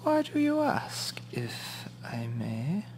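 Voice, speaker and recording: An actor playing a polite, sinister male museum expert in a noir radio play, formal and deliberate with eerie hushed tones. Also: medium pitch, wildly swooping pitch, slight background noise, exaggerated voice. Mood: sinister, assured.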